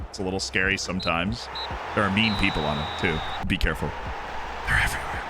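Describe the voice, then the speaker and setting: A man streaming a football management game, commenting excitedly on matches and chatting directly with his viewers. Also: on the low side, noisy background. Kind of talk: casual live-stream commentary to chat.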